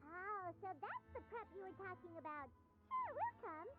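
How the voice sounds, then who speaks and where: A woman voicing a tiny, childlike fairy companion in a video game, squeaky, cheeky and excitable. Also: normal speed, dull audio, soft background music, exaggerated voice. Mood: excited, curious. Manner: cheeky, speaks of self in third person.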